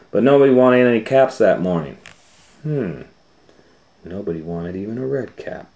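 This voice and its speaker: A man's voice: low voice, dark tone. A man reading a picture book aloud to children as storytime host, with conversational asides to the listeners.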